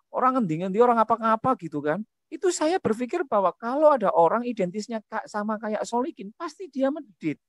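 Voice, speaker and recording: A man giving a public talk, animated, posing quiz questions to the audience. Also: high-pitched, no room sound. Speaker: speaker at a discussion.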